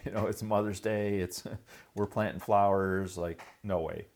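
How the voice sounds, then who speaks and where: A man's voice: on the low side, steady tone, unhurried, darkish tone. A man hosting a podcast, promoting industry events in a casual, enthusiastic and chatty way.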